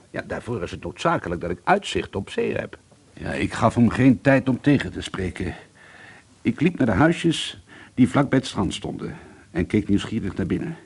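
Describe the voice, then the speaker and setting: A man's voice: mid-range voice, husky. An actor playing a troubled, bitter schoolteacher and painter in a radio drama, hesitant and confiding, repeating himself as he broods. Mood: insistent, irritated. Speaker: lead actor and first-person narrator. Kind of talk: radio drama monologue and dialogue. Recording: noisy old radio play recording.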